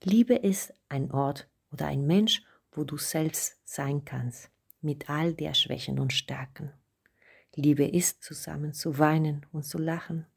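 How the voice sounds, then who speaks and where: A woman of forty-seven from Venezuela, giving a measured personal statement. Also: sits low, creaky, husky.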